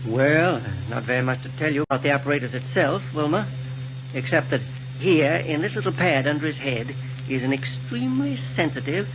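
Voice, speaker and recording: An actor playing a kindly, eccentric scientist in a 1930s radio serial, musing and professorial, with small hesitations. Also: male voice, fairly high pitch, animated, fairly quick. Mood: proud, explaining. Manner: hesitant, musing, professorial delivery with technical jargon. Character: kindly, eccentric scientist doctor proud of his invention. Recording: noisy muffled vintage radio recording.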